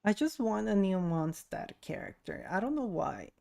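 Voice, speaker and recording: Young woman gamer just rambling to her stream, casual, lots of vocal fry. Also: mid-range voice, big pitch swings, moderate pace, mellow. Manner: chatty, rambling, musing aloud. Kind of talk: casual livestream chat while gaming.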